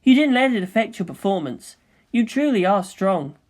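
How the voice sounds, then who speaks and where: A woman voicing an earnest, thoughtful young male pilot in a story, calm, serious and polite. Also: medium pitch, normal speed, close to an everyday voice. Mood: sincere.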